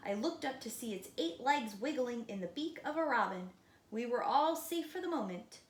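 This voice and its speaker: A woman with a high, lively, swooping voice reads a children's story like a preschool teacher. She leans into dramatic emphasis and drops to hushed tones for suspense. The room sounds echoey. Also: moderate pace. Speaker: preschool teacher reading a picture book aloud. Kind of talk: expressive children's read-aloud. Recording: roomy echo, light background noise.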